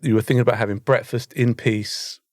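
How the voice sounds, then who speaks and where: A man's voice: medium pitch, steady tone, fairly quick, darkish tone, no room sound. A British man chatting casually as a podcast co-host, with a dry, teasing way of talking. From Britain. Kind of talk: casual chat.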